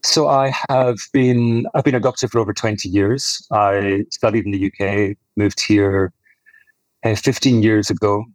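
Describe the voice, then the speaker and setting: A man in his forties with a soft Highland Scottish accent, a guest doctor in a podcast interview, measured and hesitant. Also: fairly even intonation, no echo, poor audio. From Scotland. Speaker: guest cosmetic physician.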